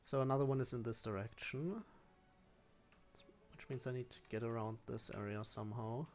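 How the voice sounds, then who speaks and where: Game streamer, mid-range pitch, casual off-the-cuff play-by-play, talking to self and to on-screen enemies. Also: fairly even intonation, moderate pace. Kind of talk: casual live gameplay commentary.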